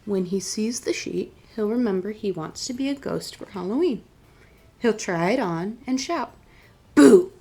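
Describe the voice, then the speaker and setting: A woman reading aloud as a children's storytime host, deliberate and expressive in her delivery. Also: mid-range voice. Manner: clear, deliberate picture-book reading with expressive emphasis. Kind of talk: read-aloud storytime narration.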